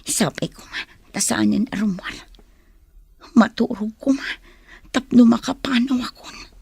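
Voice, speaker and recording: An actress playing a frightened captive woman in a radio drama, speaking in hushed, breathy whispers with a Filipino accent. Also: on the high side, unhurried, no echo, exaggerated voice. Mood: anxious, secretive. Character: frightened, injured captive young woman plotting escape.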